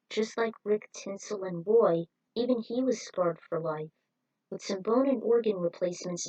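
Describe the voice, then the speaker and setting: A woman's voice: on the low side, rough recording. A woman narrating a scripted story, reading it aloud as a storyteller.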